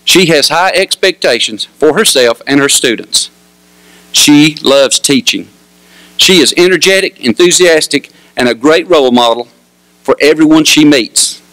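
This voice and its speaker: A man, a high school principal making measured, courteous ceremony announcements into a microphone in an auditorium. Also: animated, fairly bright tone, no echo, low-quality recording. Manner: measured, courteous emcee delivery.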